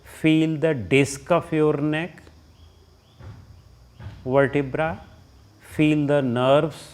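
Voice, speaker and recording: A man with an Indian accent leading a guided relaxation as a yoga teacher, giving short instructions with long pauses between them. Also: a little high, fairly even intonation, a little mellow.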